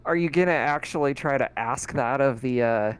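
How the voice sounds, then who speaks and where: Sex: man. Role: game master. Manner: easygoing, chuckles often. Pitch: mid. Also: moderate pace, smooth. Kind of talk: running a tabletop game.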